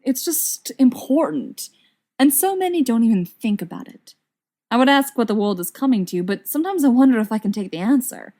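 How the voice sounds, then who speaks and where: A woman's voice: on the high side, sing-song, fairly quick, dark tone, pitched above the performer's own voice. A woman voicing a young male wizard extremist in an audiobook, earnest and persuasive with a bitter edge. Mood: rueful, probing.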